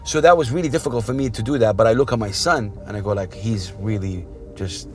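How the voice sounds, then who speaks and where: A man from Saudi Arabia hosting a casual interview in a car, rambling and warm as he talks about his past. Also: on the low side, fast talker. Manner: rambling, warm, restarts often. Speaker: podcast host. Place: inside a car. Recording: car interior with music behind.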